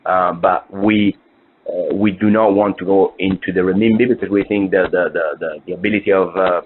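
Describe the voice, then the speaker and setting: A man's voice: low voice, fairly even intonation, moderate pace, slight background noise. A man presenting on a conference call as a fund's investment officer, talking through views with repeated words and restarts. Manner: fillers and restarts, emphatic repetition. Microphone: conference call phone line. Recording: tinny phone line.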